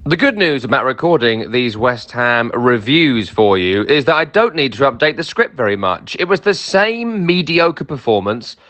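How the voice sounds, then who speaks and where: A man's voice: mid-range voice, sing-song, moderate pace, hardly any pauses, a bit bright. A man who broadcasts on football, delivering a scripted opinion piece as a fan.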